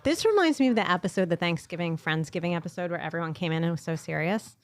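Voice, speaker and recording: A woman's voice: fairly low pitch, animated, quick pace. A woman hosting a casual podcast chat, blunt and joking, swearing freely, talking into a mic held off to the side. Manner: blunt, swears, jokes and laughs a lot. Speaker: podcast host. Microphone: studio mic held off to the side.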